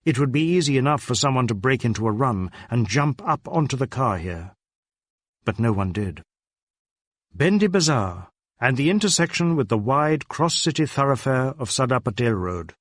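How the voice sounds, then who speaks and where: A man reading a detective novel as a narrator, in a measured, deliberate storytelling manner with careful phrasing. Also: medium pitch, no echo, studio quality. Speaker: audiobook narrator.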